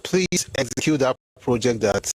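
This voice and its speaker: A man's voice: medium pitch, lively intonation, moderate pace, dry recording, rough recording. A man giving history commentary, explaining word by word with a mocking edge.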